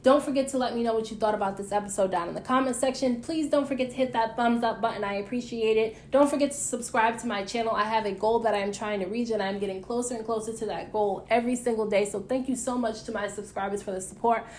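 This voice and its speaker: A woman's voice: a little high, steady tone, fast, few pauses, darkish tone, slight background noise. A young American woman giving a casual, gossipy recap of reality TV, chatty and opinionated with slangy mild swearing. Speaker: YouTube reviewer recapping reality TV.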